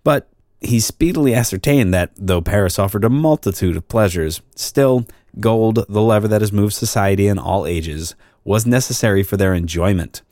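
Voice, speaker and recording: A man reading an old crime story as narrator, in a measured, formal storytelling manner. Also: fairly low pitch, darkish tone, no room sound, clean studio sound.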